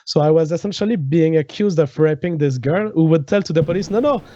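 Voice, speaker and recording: A man's voice: a little high, fast talker, mellow, muffled recording. A man from Quebec with a French Canadian accent and French as his first language, earnestly giving a personal account as a podcast guest.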